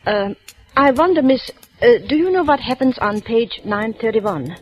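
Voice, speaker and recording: A woman's voice: on the high side, lively intonation, normal speed, muffled recording, lightly acted. An actress playing a humble, flustered immigrant woman returning a library book, speaking broken English with hesitant fillers, in 1940s radio acting style. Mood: pleading. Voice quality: nonstandard grammar, hesitant with many uhs. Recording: old radio broadcast with faint hiss.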